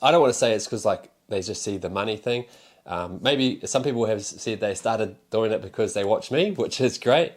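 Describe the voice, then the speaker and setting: Young kiwi guy vlogging, kinda low voice, rambly, swears now and then. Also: normal speed. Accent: New Zealand. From New Zealand. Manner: rambling and candid, with frequent fillers, laughs and swearing. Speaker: card seller and content creator. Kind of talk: casual talking-to-camera vlog.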